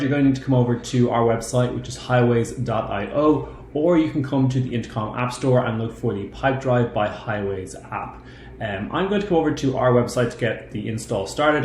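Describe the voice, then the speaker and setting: A man in his thirties presenting a software tutorial, explaining each step in turn as he shares his screen. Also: mid-range voice, a little fast. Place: indoor room. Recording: roomy echo, faint background noise.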